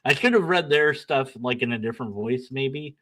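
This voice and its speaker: A man's voice: mid-range voice, moderate pace. A young man hosting his own channel, reading out an email exchange with blunt, sarcastic, self-mocking commentary. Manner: animated, sarcastic, laughs at himself, bickers with himself; blunt, argumentative when reading his replies. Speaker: channel host. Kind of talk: casual solo commentary, reading emails aloud.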